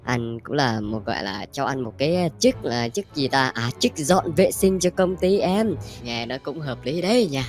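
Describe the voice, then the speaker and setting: A man acts out a young company boss who sneers and talks down to everyone. The delivery is theatrical cartoon comedy, mocking and self-satisfied. Soft music plays behind. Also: mid-range voice, expressive, normal speed, few pauses, lightly acted. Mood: smug, mocking. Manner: condescending, theatrical. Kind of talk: amateur animated comedy skit dub. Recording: background music under the voice.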